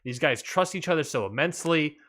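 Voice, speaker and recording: A man's voice: on the high side, animated, a little fast, fairly bright tone. A man hosting a wrestling podcast, recapping matches in an enthusiastic, rambling way. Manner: enthusiastic, rambling with fillers and restarts. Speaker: podcast host.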